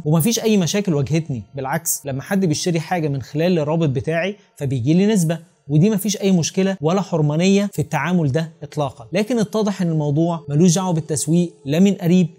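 A man's voice: a little high, mellow. A man in his thirties with an Egyptian Arabic accent, explaining emphatically and speaking straight to the viewer as a channel host. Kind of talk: explanatory talk to camera. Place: small home room with curtains and desk, indoors. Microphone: clip-on lapel mic.

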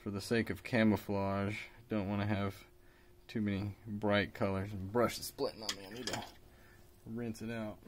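A man in his thirties, a bit raspy from a cold, calmly talking through a miniature painting tutorial with plenty of fillers. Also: fairly low pitch, moderate pace, a little hiss or hum. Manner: relaxed, hesitant with fillers, explains while working. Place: hobby shop workbench indoors.

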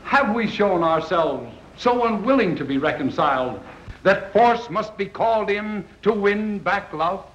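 A man's voice: high voice, lively intonation, measured pace, a bit bright. An actor playing a solemn, impassioned colonial statesman in a formal, declamatory 1930s film style. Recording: dull 1930s film soundtrack, roomy.

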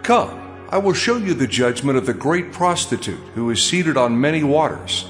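An actor playing an authoritative, stern angel, declaiming to a visionary. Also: male voice, mid-range voice, big pitch swings, moderate pace, soft background music, close to an everyday voice.

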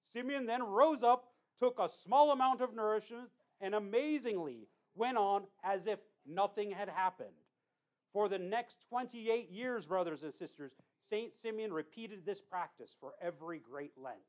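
A man's voice: high voice, moderate pace, low-quality recording. A man, a deacon, delivering a homily in a small church, telling a saint's story earnestly with small asides and self-corrections. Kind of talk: homily retelling a saint's life. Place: small church with icon wall, indoors.

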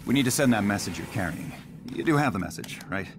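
An actor playing a wry, defiant weapons scientist in a game cutscene, sardonic and casual in manner. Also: male voice, on the low side, animated, quick pace, noisy background, natural voice. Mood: wry urgency. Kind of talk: cinematic game cutscene acting.

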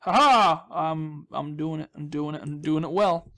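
A man's voice: fairly high pitch, a little slow, fairly bright tone. A young man giving casual, rambling commentary as he hosts a gaming video, with a goofy, self-deprecating, mock-dramatic manner.

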